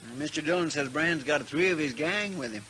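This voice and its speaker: A folksy frontier deputy, slightly high and bright, with a rural American drawl. He sounds loyal and deferential, on a faintly noisy vintage radio recording. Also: fairly quick. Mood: concerned, eager. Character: folksy, loyal, naive Western marshal's deputy. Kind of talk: 1950s radio western acting. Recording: hissy 1950s radio drama recording.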